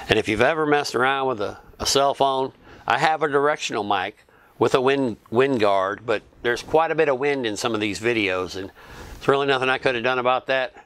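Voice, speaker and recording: A man tells his travel story for his own channel in an easygoing, conversational way. His voice sits at an ordinary middle pitch. He restarts a phrase here and there and keeps on talking. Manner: relaxed, chatty, with small restarts. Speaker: YouTube vlogger and fan interviewer. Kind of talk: casual recounting narration.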